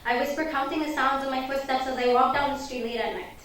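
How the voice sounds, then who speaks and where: A woman's voice: high-pitched, fairly even intonation, fast, a bit bright. A young woman reciting spoken word poetry in a dramatic, impassioned way. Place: event hall before an audience. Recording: echoey hall, distant mic, faint noise.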